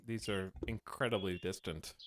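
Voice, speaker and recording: A man's voice: deep voice, steady tone, normal speed, background noise. A man streaming a building game who talks casually with his chat and thinks aloud as he plays. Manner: rambling, thinking aloud while playing. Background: game music.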